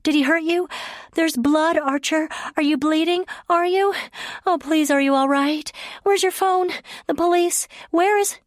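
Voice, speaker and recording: A woman's voice: fairly high pitch, moderate pace, a little mellow, no echo, studio quality, voice pushed up, lightly acted. A woman reading an audiobook, voicing a distraught young woman who pleads and repeats herself breathlessly. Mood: frantic pleading. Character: frantic distraught young woman, shaken and remorseful.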